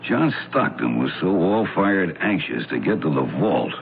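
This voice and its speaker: Thin hissy radio sound, puzzled sidekick, deep voice, lively intonation, folksy, curious. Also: male voice, measured pace, vocal fry, full character voice. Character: plain-spoken, puzzled male sidekick of a sleuth. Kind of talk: old-time radio drama acting. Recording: thin, noisy phone-like vintage radio recording.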